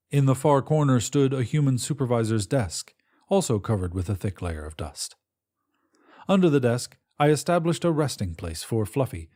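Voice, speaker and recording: Mellow male narrator with expressive pitch. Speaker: audiobook narrator. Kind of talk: scripted first-person fiction narration.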